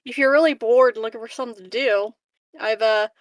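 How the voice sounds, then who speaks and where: A woman playing a tabletop roleplaying game, improvising dialogue casually in a halting, playful, teasing way. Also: fairly high pitch, steady tone, no echo.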